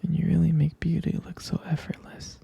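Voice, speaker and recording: A tender vampire lover in a romantic ASMR roleplay, murmuring in a husky, very dark whisper full of gravelly fry. The delivery is intimate and adoring, with soft hesitant pauses close to the mic. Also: mid-range voice, level tone, highs cut off. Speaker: ASMR performer playing a loving partner.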